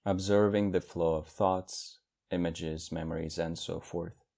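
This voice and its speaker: A man leading a guided meditation as a teacher, speaking in measured, instructional phrases with long pauses between them. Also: low-pitched, fairly even intonation, creaky, warm tone.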